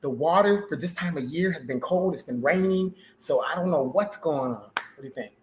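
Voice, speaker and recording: An American man co-hosting a casual chat, relaxed, with joking asides. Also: fairly high pitch, normal speed, noisy background. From United States. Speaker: co-host.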